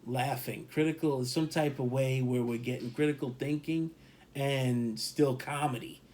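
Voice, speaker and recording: A man's voice: mid-range voice, steady tone, normal speed, darkish tone. A man talking casually as a podcast co-host, emphatic and rambling, repeating points for stress. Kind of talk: casual opinion talk.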